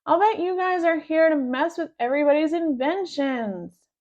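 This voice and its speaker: Storybook brainiac schoolboy, played by a woman, self-important and exact, high-pitched, slightly dull recording. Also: expressive, moderate pace, darkish tone. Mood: wary. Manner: pompous, precise. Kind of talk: children's storybook read-aloud.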